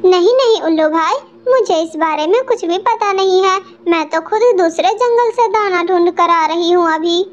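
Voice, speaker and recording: Woman voicing a very high little cartoon mother bird. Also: moderate pace, few pauses, highs cut off. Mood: polite. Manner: polite, earnest. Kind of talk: Hindi children's cartoon dialogue.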